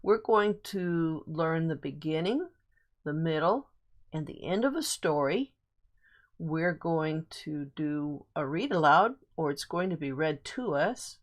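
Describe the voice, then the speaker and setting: A woman's voice: low voice, lively intonation, slow. A woman teaching a guided reading lesson to children, explaining things simply and repeating key points. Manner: simple explanations with much repetition.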